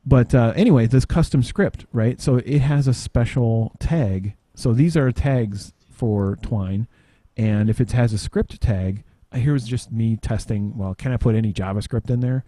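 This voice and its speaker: A man giving an informal software demo, halting and tangential as he thinks aloud in casual talk. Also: mid-range voice, no echo. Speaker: podcast panelist demonstrating software.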